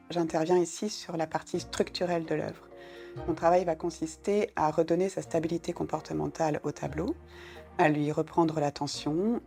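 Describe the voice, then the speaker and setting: A woman's voice: low-pitched, mellow. A middle-aged woman, a painting conservator, explains the work in a composed, articulate way for a documentary.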